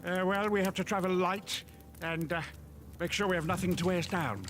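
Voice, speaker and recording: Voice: mid-range voice, fairly even intonation, fairly quick, natural voice. An actor playing a fussy but tender old grandfather Time Lord in an audio drama, speaking in hesitant, stammering bursts. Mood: flustered. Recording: loud music behind. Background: loud music.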